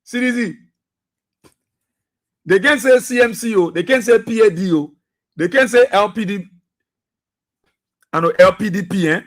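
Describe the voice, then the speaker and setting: A Liberian man hosts a radio talk show in a fairly high voice. He talks in repetitive, exhorting runs, filled with fillers and appeals to the listeners. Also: moderate pace, pauses often. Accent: Liberian English. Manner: low and subdued for birthday greetings, then loud and preachy.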